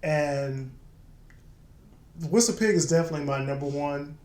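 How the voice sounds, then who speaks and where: A man's voice: on the high side, normal speed, darkish tone. A man chatting casually as co-host of a drinks review show. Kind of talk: casual chat. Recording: echoey room with some background noise.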